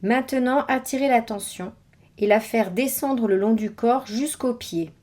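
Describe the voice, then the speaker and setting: A woman guiding a body scan meditation with measured, scripted instructions and pauses between phrases. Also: a little high, darkish tone. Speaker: meditation guide.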